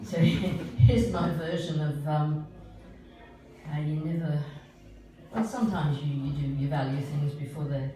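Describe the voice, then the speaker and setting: A woman's voice: low voice, a little slow, dark tone. Older woman performing in a very echoey room. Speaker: singer-poet performing own work. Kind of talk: live spoken-word performance with casual asides. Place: live performance venue, indoors. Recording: echoey room from far off, guitar music.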